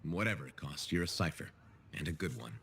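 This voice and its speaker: A man playing an easygoing, sincere pilot hero in a sci-fi video game, talking casually with gentle teasing. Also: a bass voice, lively intonation, brisk, gravelly, slight background noise, full character voice. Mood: warm, teasing. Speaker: video game player character. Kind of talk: acted game dialogue.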